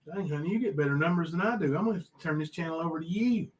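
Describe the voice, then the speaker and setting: A man's voice: a little high, fast. A man, chiming in on a live stream with teasing jokes. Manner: teasing, joking asides.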